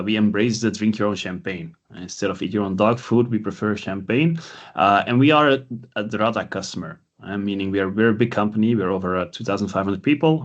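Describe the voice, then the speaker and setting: A man hosting a recorded webinar, talking in a hesitant, conversational way with many fillers. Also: fairly low pitch. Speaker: webinar host.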